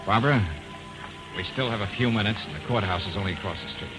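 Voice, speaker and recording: A man's voice: lowish voice, fast talker, loud music behind the voice, lightly acted, poor audio. An actor playing a determined, protective male investigator in an old-time radio drama. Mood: gentle, grave. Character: determined, plain-spoken male private investigator, protective and persuasive.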